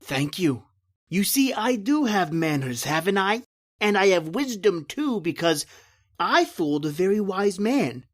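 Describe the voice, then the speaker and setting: A man's voice: high-pitched, sing-song, unhurried, full character voice. A man playing a cunning, gloating escaped convict with mock-courteous, boastful speech, in old-time radio horror style. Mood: smug menace.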